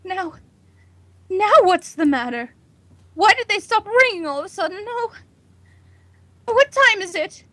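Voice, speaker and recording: An actress playing a nervous, imperious housewife on edge, in a melodramatic 1940s radio drama style. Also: female voice, very high voice, expressive, unhurried, long pauses, fairly bright tone, dry recording, full character voice. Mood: anxious, agitated. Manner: haughty, melodramatic, agitated. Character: nervous, imperious, panicking housewife alone at night.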